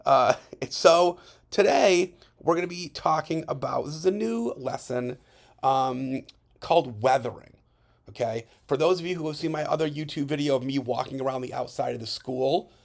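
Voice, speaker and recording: A male science teacher narrating a slide lesson in a chatty way, checking in with students and saying his voice is still recovering from hoarseness. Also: medium pitch, normal speed. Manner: chatty, checks in with frequent "okay?". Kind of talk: recorded classroom lesson over slides.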